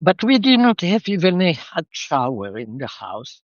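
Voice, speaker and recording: A woman's voice: fairly low pitch, very animated, moderate pace, no room sound. An older woman from Israel, reflectively telling the story of her life as an interview guest, with a slightly muffled sound.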